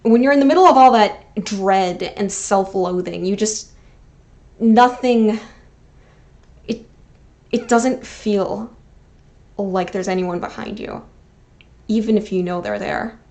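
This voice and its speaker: A woman's voice: mid-range voice, slow pace, long pauses, a little mellow. A woman in her twenties narrating a personal video essay as channel host, earnest and sentimental with wry, self-deprecating asides. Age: 20s.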